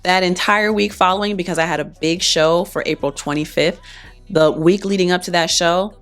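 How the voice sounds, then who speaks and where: Young woman vlogger, deep-pitched, soft-spoken and chatty, voice still mending from laryngitis, faint music underneath. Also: fairly even intonation, moderate pace. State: recovering from laryngitis. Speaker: singer and vlogger. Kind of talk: casual talking-to-camera vlog.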